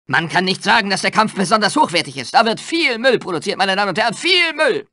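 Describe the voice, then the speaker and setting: An actor playing an excitable, theatrical male sports commentator in a cartoon video game, calling a match in exclamatory style. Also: high-pitched, quick pace, no room sound, clean studio sound, full character voice. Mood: exasperated. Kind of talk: over-the-top match commentary.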